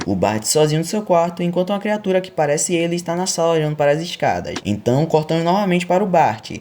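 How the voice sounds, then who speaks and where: Fast-talking young-sounding Brazilian man narrating. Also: on the high side, darkish tone, a little hiss or hum. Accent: Brazilian Portuguese. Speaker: video host and narrator. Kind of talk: scripted storytelling narration with casual asides.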